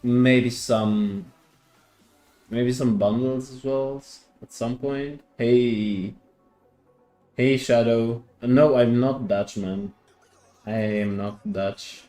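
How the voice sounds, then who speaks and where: A man's voice: mid-range voice, fairly even intonation, takes it slowly, mellow, soft background music. A man from Greece streaming a strategy game, chatting casually with viewers and often repeating his words as he thinks. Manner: hesitant, repeats words, answers chat. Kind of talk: casual live-stream chat.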